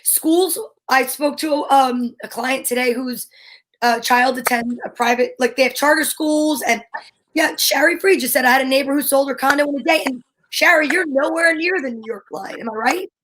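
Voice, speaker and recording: A woman's voice: sits high, normal speed, a bit bright, poor audio. A middle-aged American woman chatting casually as a talk show co-host, blunt and emphatic in her opinions. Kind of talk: casual chat.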